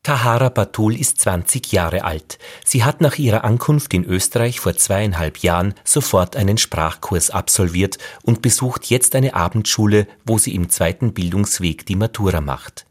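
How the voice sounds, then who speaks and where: A man narrating a radio feature in a measured, questioning way. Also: fairly low pitch. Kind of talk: scripted narration.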